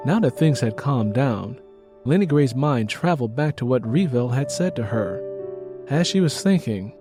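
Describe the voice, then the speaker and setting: American guy narrating a story, mid-range voice, dramatic scripted read, rain n music in the back. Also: medium pitch.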